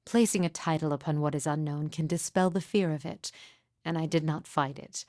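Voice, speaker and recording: A woman playing a grieving, mystical midwife in a fantasy game, speaking in a solemn, formal, measured way with deliberate pauses. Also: sits low, fairly even intonation, a little mellow, no echo, clean studio sound, close to an everyday voice. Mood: solemn, reflective. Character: grieving mother, solemn sorrowful mystic midwife with haunted calm. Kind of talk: scripted fantasy game dialogue.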